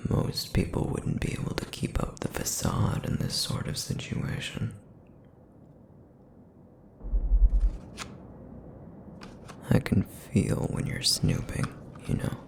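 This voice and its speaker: Man acting a gravelly, whispery, brooding supernatural predator. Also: a little mellow, heavily acted. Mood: wary, observant. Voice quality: raspy, rumbling, breathy low murmur. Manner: terse, brooding, clipped phrases. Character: broody, feral male essence eater, guarded and grudging. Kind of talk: ASMR roleplay audio acting.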